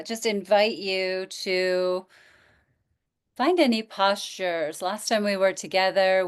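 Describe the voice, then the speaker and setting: Slow, smooth-voiced woman guiding relaxation. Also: medium pitch. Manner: gentle, invitational, with pauses. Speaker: yoga nidra teacher. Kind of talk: guided relaxation instruction.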